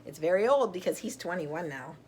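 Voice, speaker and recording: A middle-aged woman reading a picture book aloud to children, gently and deliberately, with chatty asides. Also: on the low side, animated, a little hiss or hum.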